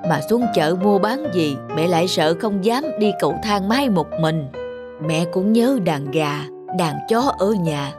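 A woman voicing a gentle, lonely elderly country grandmother in an animated family drama, homely and apologetic. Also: fairly low pitch, a little slow. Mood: sad, subdued. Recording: music under the voice.

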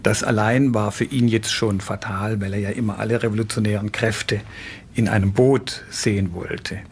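A man's voice: fairly low pitch, steady tone, normal speed, slight background noise. A man explaining as an expert commentator in an interview.

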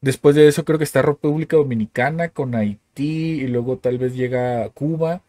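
A man's voice: mid-range voice, moderate pace, dark tone, no echo. A man in his thirties hosting a podcast, asking curious questions and thinking aloud with fillers. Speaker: podcast host. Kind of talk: casual interview questions. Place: home room, indoors. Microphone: studio mic on a stand, with headphones.

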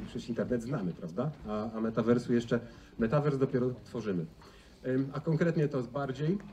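Mellow-voiced man presenting on stage. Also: medium pitch. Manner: conversational, frequent rhetorical tag questions. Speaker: conference speaker. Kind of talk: informal conference presentation. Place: large indoor auditorium stage. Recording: distant, noisy stage sound in a hall.

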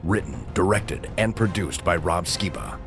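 A man's voice: low voice, animated, measured pace, vocal fry. A man delivering dramatic scripted narration for an audio drama. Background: prominent music.

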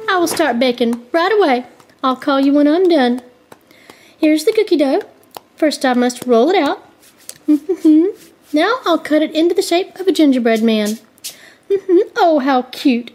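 A woman's voice: high-pitched, animated, unhurried, a little mellow. A woman voicing a sweet little old wife in a children's puppet show, cooing and singsong, with music playing underneath. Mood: pleased, busy.

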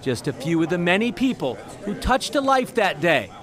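A man's voice: high-pitched, sing-song, moderate pace, a bit bright. A male news reporter reading a scripted voice-over with emphatic storytelling delivery.

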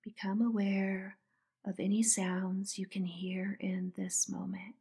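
A woman leads a guided meditation in a soft, breathy voice with a very even tone. Her delivery is slow and soothing, with a noticeable gravelly fry at the edges. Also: medium pitch.

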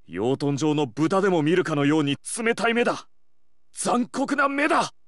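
A man's voice: a little high, lively intonation, moderate pace, no echo, clean studio sound, lightly acted. A man voicing an intense, dramatic young man in an anime video game. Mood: appalled.

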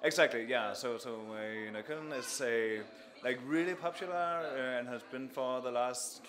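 A young man from Denmark, answering interview questions thoughtfully in a cafe, pausing and restarting as he thinks. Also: mid-range voice, fairly bright tone, soft background music. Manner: thoughtful, many fillers and restarts. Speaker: sound artist, interview guest. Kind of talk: relaxed sit-down interview. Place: indoors in a small cafe. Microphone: handheld mic held by interviewer.

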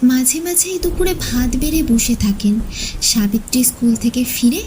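A woman reading a scripted horror story as narrator, expressive and suspenseful, sometimes letting phrases fall to a hush. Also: high voice, normal speed, a little hiss or hum, poor audio. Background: background music.

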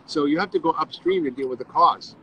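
A man of sixty talking earnestly and deliberately on a live video call from outdoor café seating, using his phone at arm's length. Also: fairly high pitch, bright, forceful tone, highs cut off, slight background noise. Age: 60s. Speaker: guest, physician. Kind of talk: casual live video chat. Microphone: phone front camera at arm's length.

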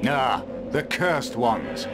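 An actor playing a fierce, hearty northern warrior chieftain in a fantasy game, bold and boisterous. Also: male voice, mid-range voice, sing-song, very slow. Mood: hearty, bloodthirsty. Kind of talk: fantasy video game cutscene acting. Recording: loud music under the voice.

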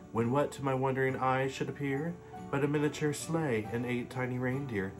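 A man in his late twenties, sitting on a living room couch and reading a Christmas story aloud in a gentle, casual way. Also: medium pitch. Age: late 20s. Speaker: storybook reader. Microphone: webcam facing him at close range.

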